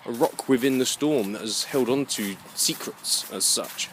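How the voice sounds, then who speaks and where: A man giving a rambling, unscripted monologue in a halting, musing way, often repeating his phrases. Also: medium pitch, slight background noise, low-quality recording. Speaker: vlogger.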